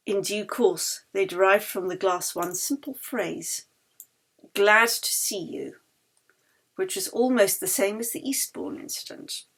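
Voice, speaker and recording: A woman author reading her own novel aloud in a measured, expressive way. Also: medium pitch, slightly rough. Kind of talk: audiobook narration.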